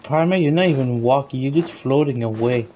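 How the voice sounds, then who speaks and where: A man's voice: mid-range voice, brisk, a little mellow, poor audio. A man giving casual, offhand commentary while he plays a video game.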